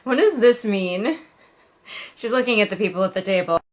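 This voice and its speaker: A woman with a mid-range voice hosts a casual read-along review. She is sarcastic and puts animated, mocking emphasis on her points as she comments. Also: mid-range voice, normal speed. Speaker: book reviewer and host.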